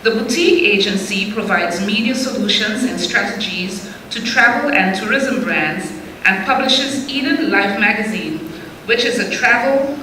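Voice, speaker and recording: A woman's voice: medium pitch, sharp tone. A woman formally reading aloud an introduction of the next speaker. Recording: echoey hall, faint noise.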